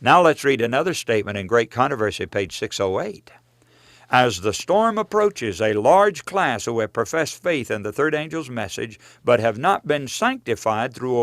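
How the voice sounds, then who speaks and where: Male preacher reading a written sermon. Earnest and emphatic, widely varied pitch, dry close sound. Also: a bit bright, no room sound. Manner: earnest, emphatic, measured delivery. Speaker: preacher and author reading his own book. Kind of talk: scripted sermon-like book reading with quotations.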